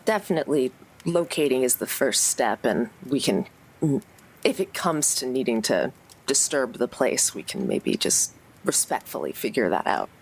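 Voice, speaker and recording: A woman's voice: a bass voice, big pitch swings, normal speed, husky, poor audio. A woman playing a tabletop roleplaying game, casually suggesting plans in a diplomatic, tentative way.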